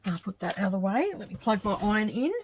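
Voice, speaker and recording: A middle-aged woman with a slightly croaky voice, chatting and thinking aloud as she works on a quilt for her craft channel. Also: medium pitch, expressive, fairly quick, a little hiss or hum. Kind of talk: casual craft vlog narration while working.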